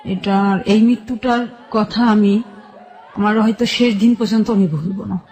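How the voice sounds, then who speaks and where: A woman with a Bangladeshi accent telling her personal life story as a radio guest, recalling it haltingly in short phrases. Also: medium pitch, steady tone, darkish tone, some chatter behind, poor audio.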